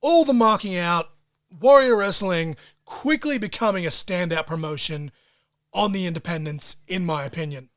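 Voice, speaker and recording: Aussie guy doing a solo pod, fired up and cussing, kinda high voice. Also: moderate pace. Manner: excitable and profane, wrestling-fan slang. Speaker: podcast host. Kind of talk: solo podcast recap of wrestling shows.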